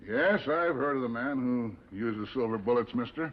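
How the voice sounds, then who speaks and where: An actor playing a respectful, plain-spoken sheriff in a 1950s television western, with an American accent. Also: male voice, medium pitch, expressive, moderate pace, close to an everyday voice. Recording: muffled old TV soundtrack.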